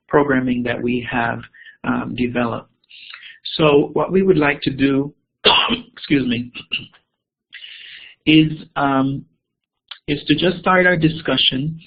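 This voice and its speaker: A man's voice: mid-range voice, creaky, slightly rough. A man from Costa Rica giving an online keynote, hesitating with many ums and repeated words. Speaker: keynote speaker. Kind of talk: webinar presentation. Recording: tinny phone-like audio.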